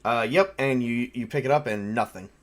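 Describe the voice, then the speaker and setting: A man acts as game master for a tabletop session. He narrates casually and off the cuff, thinking aloud as he works out rules and outcomes in a mid-pitched voice. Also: normal speed.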